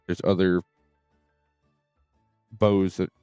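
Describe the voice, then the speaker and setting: A man with a low, smooth voice gives casual game commentary on a stream. He speaks haltingly, often doubling back on his words. The sound is close and dry, with music playing behind him. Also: flat delivery, warm tone, no echo, highs cut off. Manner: halting, repeats and stutters on words.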